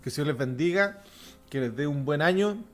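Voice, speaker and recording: A man with a softened Chilean accent hosting a religious podcast, chatting informally and offering blessings to listeners. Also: fairly high pitch, expressive, brisk. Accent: softened Chilean Spanish. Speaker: podcast host. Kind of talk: casual religious podcast chat.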